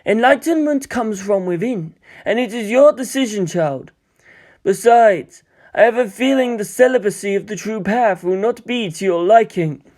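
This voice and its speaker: Man voicing a measured, wise old monk. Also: high-pitched, darkish tone, voice pushed up, close to an everyday voice. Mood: wry, instructive. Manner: measured, formal, philosophical. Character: wise old male mountain monk, patient teacher.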